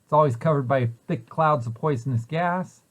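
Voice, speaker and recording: A man with a fairly high-pitched voice reads a picture book aloud for young children. His delivery is explanatory, as an educator would give it, setting out questions and their answers. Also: moderate pace. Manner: explanatory, poses questions then answers them. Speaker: preschool site director reading aloud to children.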